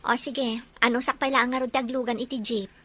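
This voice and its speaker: A woman's voice: a little high, flat delivery, a little fast, sharp tone. A woman playing an understanding, caring young girlfriend in an Ilocano audio drama.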